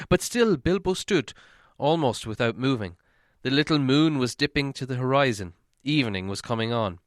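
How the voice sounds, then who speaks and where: A man's voice: mid-range voice, no echo, studio quality. A man reading a story aloud as a dramatic audiobook narrator.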